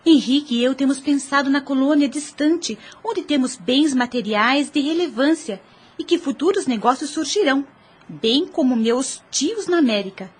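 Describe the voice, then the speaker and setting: Woman acting devoted sister, very high pitch, encouraging, theatrical formal wording, radio play. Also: animated, normal speed. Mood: caring. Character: affectionate, eager, encouraging married younger sister. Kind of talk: Spiritist radio drama acting.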